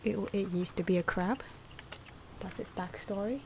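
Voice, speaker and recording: A soft-spoken woman giving casual ASMR commentary on drawing, often slipping into whispers, in an unhurried, rambling and playful way. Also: medium pitch. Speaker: host of an ASMR drawing channel. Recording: clear background noise from drawing sounds. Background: pen and marker scratching.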